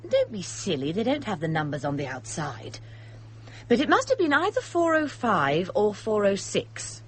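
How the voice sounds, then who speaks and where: An actress playing an impulsive, confident young woman amateur sleuth in a radio drama, brisk and emphatic. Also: mid-range voice, very animated, breathy edge. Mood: breezy, confident.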